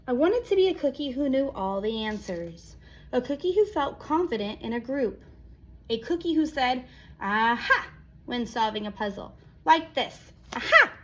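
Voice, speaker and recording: Female storyteller, high voice, expressive picture-book read-aloud delivery with dramatic pauses. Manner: animated, acts out exclamations, dramatic pauses.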